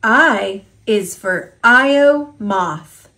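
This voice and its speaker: A woman with an American accent reading an alphabet picture book aloud for toddlers, enunciating simply and deliberately. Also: medium pitch, expressive, sharp tone.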